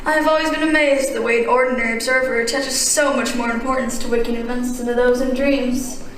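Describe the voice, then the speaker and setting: A woman playing an aloof, dreamy young artist in a stage drama, speaking in measured, formal, cryptic philosophical lines. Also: a little high, fairly even intonation, very echoey, far from the mic, a little hiss or hum, lightly acted, rough recording. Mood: dreamy, intense. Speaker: amateur stage actor. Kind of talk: radio-style stage drama.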